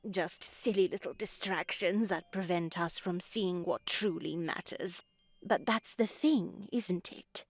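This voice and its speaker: A stern old nun for a horror game, slow n husky, preachy, looking down on you. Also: female voice, fairly low pitch, no echo. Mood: solemn, preaching. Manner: preachy, measured, condescending. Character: stern, pious older nun, softly menacing and condescending. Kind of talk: dramatic visual novel voice acting.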